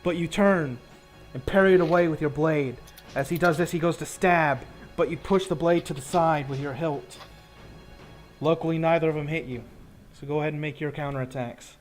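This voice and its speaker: A man with a somewhat high-pitched voice acts as game master for a tabletop role-playing game. He narrates in a casual, descriptive way while soft music plays faintly behind him. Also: moderate pace.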